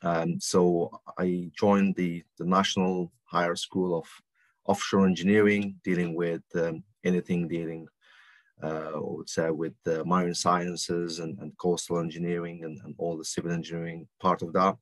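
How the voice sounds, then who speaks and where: Deep flat-voiced man with many pauses. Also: no echo. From Algeria. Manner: halting, many ums and restarts. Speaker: guest consultant. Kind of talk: podcast interview answers.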